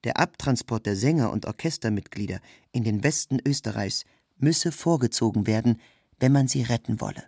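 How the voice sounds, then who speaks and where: A man's voice: mid-range voice, lively intonation, normal speed, creaky, darkish tone, husky, no room sound, clean studio sound. A man reading a scripted literary narration in a sober, factual manner.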